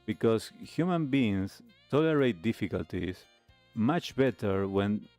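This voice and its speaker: Guy hosting a talk show, kinda low voice, thoughtful commentary, faint music behind. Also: lively intonation, takes it slowly, a little mellow. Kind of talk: reflective commentary on lifestyle videos.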